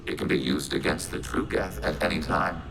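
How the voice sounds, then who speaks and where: Very deep, gravelly synthetic robot. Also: male voice, brisk. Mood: impassive, analytical. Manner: flat, formal, clipped machine-like statements. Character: male-voiced geth robot, logical, collective-minded, emotionless soldier. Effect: synthetic robot voice processing. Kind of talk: video game character acting.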